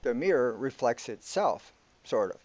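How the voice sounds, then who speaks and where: Man as slow, explanatory cosmic companion. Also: medium pitch, big pitch swings, darkish tone, dry recording, dull audio. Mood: matter-of-fact. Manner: deliberate, explanatory. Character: matter-of-fact male companion explaining the cosmos. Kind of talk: radio play acting.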